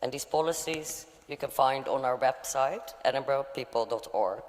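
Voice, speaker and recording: A Dutch woman standing as a candidate, giving a nervous, halting speech at a hustings. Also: rumbling low. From Netherlands. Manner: halting, nervous, many fillers. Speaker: election candidate. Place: large church hall. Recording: long church hall reverb.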